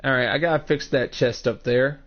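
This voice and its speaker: A man's voice: mid-range voice, steady tone, normal speed. A man in his twenties giving casual commentary while streaming a building game, explaining each step and talking to chat viewers by name. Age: 20s. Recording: muffled lo-fi stream mic.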